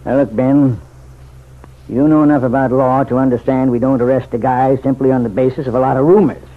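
Tinny old-radio actor as a weary, guarded sheriff. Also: male voice, medium pitch, steady tone, a little fast, close to an everyday voice. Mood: irritated, defensive. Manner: gruff, laconic, folksy. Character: weary, guarded small-town sheriff, dryly skeptical. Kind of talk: old-time radio drama acting. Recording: tinny, narrow vintage radio recording.

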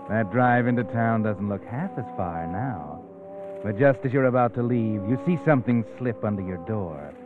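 Tinny vintage radio sound with clear music behind it carries a man acting as an insinuating suspense narrator. His lowish voice nudges the listener through the tale like a nagging inner voice. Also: normal speed, lightly acted. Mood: insinuating, urging. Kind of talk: old-time radio drama narration, second person. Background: dramatic music.

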